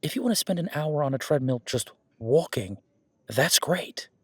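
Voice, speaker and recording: A man's voice: fairly high pitch, expressive, normal speed, breathy edge, no room sound. Man playing a casual, reassuring werewolf boyfriend, close and dry. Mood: encouraging, casual. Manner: casual, reassuring, rambling self-corrections. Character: friendly male werewolf gym-goer, easygoing supportive boyfriend. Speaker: voice actor in an audio roleplay. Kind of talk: conversational one-sided roleplay acting.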